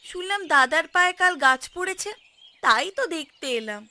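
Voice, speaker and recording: A woman voicing sharp-tongued village women in a Bengali cartoon, with a Bengali accent. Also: very high voice, animated, moderate pace, exaggerated voice. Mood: earnest, worried. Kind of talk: cartoon voice acting. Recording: music behind the voice.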